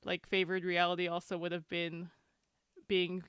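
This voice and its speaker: A woman chatting casually, retelling things in a halting, filler-heavy way with small laughs. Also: on the low side, monotone, fairly bright tone, clear, resonant tone, no echo, studio quality. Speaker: podcast panelist. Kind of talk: casual chat.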